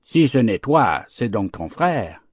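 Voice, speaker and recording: A man's voice: medium pitch, sing-song, takes it slowly, slightly rough, dry recording, studio quality, full character voice. A man voicing a hungry, cruel male wolf in a storybook fable, accusing and imperious. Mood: vengeful.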